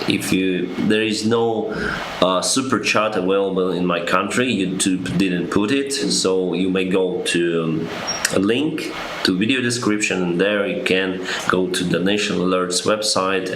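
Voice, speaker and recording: A deep, low-pitched young man takes questions from viewers as a pilot on a live stream. He speaks slowly and hesitantly, reading each question aloud before answering. The room sounds echoey, with a steady noise behind him. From Ukraine. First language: Russian. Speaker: airline pilot.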